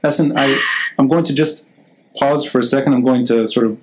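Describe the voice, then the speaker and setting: A man hosts a live, unedited podcast interview in a mid-range voice. His talk is informal and hesitant, and he thinks out loud as he goes, chatting with a guest over a video call. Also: mid-range voice, steady tone, fairly quick, rough recording. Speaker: podcast host. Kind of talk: unscripted live interview, casual chat.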